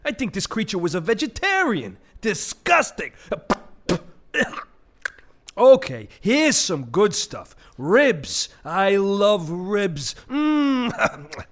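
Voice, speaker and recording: A greedy, crude male vulture from a comic radio play. His voice sits high and swoops widely. He grumbles and exclaims, lingering over his words. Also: wildly swooping pitch, slow pace, heavily acted. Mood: greedy, grumbling. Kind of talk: comic audio play acting.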